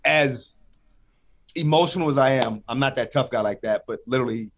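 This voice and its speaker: A middle-aged man talking as a podcast guest in casual chat, animated and emotional, quick to laugh. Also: mid-range voice, slight background noise.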